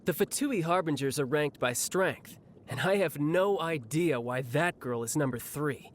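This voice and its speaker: A man's voice: on the high side, measured pace, a little hiss or hum, natural voice. A man voicing a cheerful, battle-hungry young warrior in a video game, breezy, confident and theatrical with a playfully menacing edge. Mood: excited, cocky. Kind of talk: scripted video game character voice lines.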